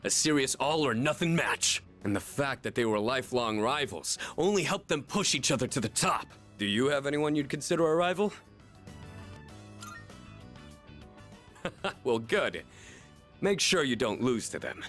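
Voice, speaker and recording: An actor playing an easygoing, competitive young athlete in a video game, talking in a casual, friendly and earnest way. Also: male voice, medium pitch, very animated, normal speed, a bit bright, faint music behind. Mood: keen, friendly.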